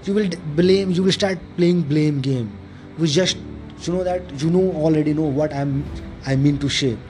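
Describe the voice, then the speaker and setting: An Indian-accented man, a native Hindi speaker, talks in a slightly high voice over clearly audible music. He delivers an informal self-help monologue, hammering points through repetition and lists in somewhat halting English. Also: a little mellow. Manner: repetitive, emphatic listing of points; halting, non-fluent English. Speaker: podcast host. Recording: music playing behind the voice. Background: clear background music.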